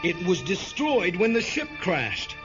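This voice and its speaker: A desperate male scientist pleads his innocence in a fairly high voice. His delivery is urgent and halting, as in science fiction drama. Prominent music sits behind the slightly muffled recording. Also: sing-song. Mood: shaken relief. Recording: dull radio play recording under loud music.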